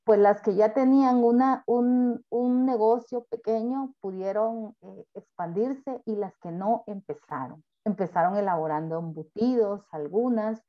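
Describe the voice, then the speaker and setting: A woman speaks earnestly over a video call, pausing often on fillers as she presents to a webinar. Her voice sits at an ordinary middle pitch. The audio is dull and lo-fi. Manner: earnest, halting with frequent fillers. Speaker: panelist.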